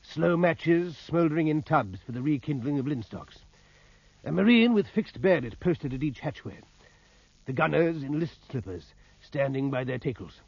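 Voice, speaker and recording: Grave naval officer narrator, muffled recording. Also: male voice, fairly high pitch, creaky, husky, no room sound, exaggerated voice. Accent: British. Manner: literary, grave, precise storytelling. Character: male naval officer recalling battle as narrator. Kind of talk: 1950s radio drama narration. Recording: muffled vintage radio recording.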